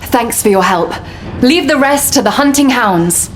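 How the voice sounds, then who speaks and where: An actress voicing a brisk, commanding female investigator in a video game. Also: on the high side, lively intonation, background noise. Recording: roomy, distant, loud game music.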